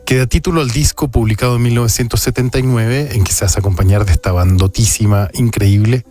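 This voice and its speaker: A man's voice: mid-range voice, fairly even intonation, fast, a little mellow. A man, hosting a jazz radio show with casual, reflective, opinionated music commentary. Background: music playing behind the voice.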